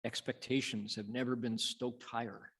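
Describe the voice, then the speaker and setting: A man reciting and teaching Buddhist scripture as a monk, in a measured, dramatic storytelling manner with pauses. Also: medium pitch, little pitch movement, fairly bright tone, husky. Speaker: Buddhist monk, sutra lecturer. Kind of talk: sutra recitation and dharma lecture.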